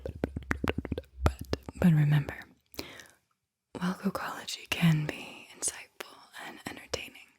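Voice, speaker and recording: Slow, whispering ASMR woman. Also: deep voice. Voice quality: breathy, airy whisper. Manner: slow, soothing, deliberate delivery with repeated phrases. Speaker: host, guided visualization narrator. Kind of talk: whispered ASMR guided visualization.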